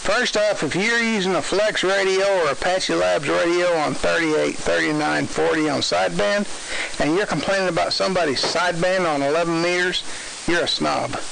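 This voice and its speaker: A man's voice: high voice, normal speed, creaky, fairly bright tone, low-quality recording. A man in his sixties with a Southern US accent, chatting and telling stories in a folksy, opinionated way over CB radio. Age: 60s. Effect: CB radio transmission. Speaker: amateur CB radio operator. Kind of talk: casual radio chat, storytelling. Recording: hissy CB radio with light static.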